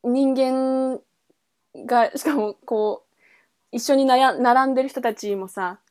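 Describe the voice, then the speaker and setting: A woman's voice: high-pitched, a little slow, darkish tone, smooth. A woman podcast host in a casual book chat, thinking aloud in trailing, loosely linked phrases. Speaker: podcast host reviewing a novel.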